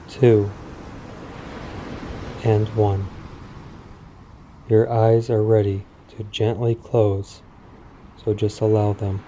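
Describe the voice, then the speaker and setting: A man speaking in a soothing, measured, deliberate way with long pauses, as in a guided sleep meditation. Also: lowish voice, warm tone, a little hiss or hum. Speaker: podcast host. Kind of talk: guided sleep meditation, parody hypnosis script.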